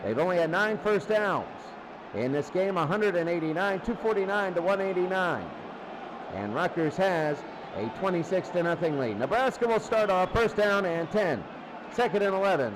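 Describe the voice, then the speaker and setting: A man doing informal live play-by-play football commentary, with muttered asides to himself. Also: high-pitched. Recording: clearly noisy game broadcast.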